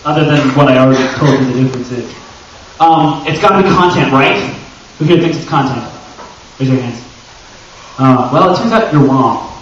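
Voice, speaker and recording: A man's voice: mid-range voice, lively intonation, moderate pace, long pauses. A man giving an informal, joking live panel talk to an audience, with theatrical rhetorical questions. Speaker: convention panel presenter. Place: large echoey indoor panel room. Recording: echoey room from far off, muffled, noisy.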